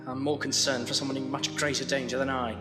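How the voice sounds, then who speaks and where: A man plays a troubled, earnest gentleman of the Victorian age in an audio drama. His mid-pitched voice rings in long reverb, with music underneath. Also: flat delivery, fast, lightly acted. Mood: wary, grave. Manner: grave, earnest.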